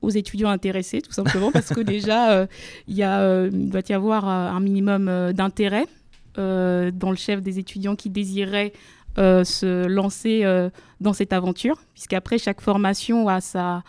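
A woman's voice: medium pitch, little pitch movement, moderate pace, darkish tone, clear, resonant tone, no room sound, clean studio sound. A young woman from the Democratic Republic of the Congo, a law student guest on a radio interview, speaking formally with many hesitations. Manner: hesitant, many fillers, careful formal phrasing. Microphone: studio microphone.

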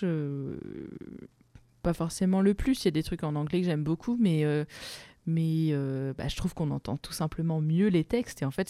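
A woman's voice: sits low, dark tone, no echo. A woman musician and playwright answering interview questions casually, hesitant with many fillers and restarts, laughing often.

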